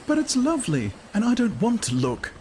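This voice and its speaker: A man's voice: extremely high pitch, wildly swooping pitch, moderate pace, background noise, pitched above the performer's own voice, full character voice. A man voicing a naive, cheerful young woman who grows anxious and pleading. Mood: distressed.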